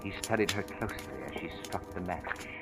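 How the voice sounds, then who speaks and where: A pompous, silky crime writer in an old radio drama. His voice sits low as he spins the tale with florid, theatrical care. Music runs clearly behind him on the vintage recording. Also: lively intonation, brisk. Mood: grave suspense. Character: suave, theatrical male crime writer and storyteller. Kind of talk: 1940s radio drama narration.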